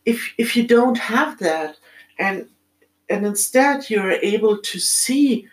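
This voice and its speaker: A middle-aged woman with a German accent, speaking in a reflective, halting solo monologue as a podcast host, with many pauses. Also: mid-range voice, animated, rough recording.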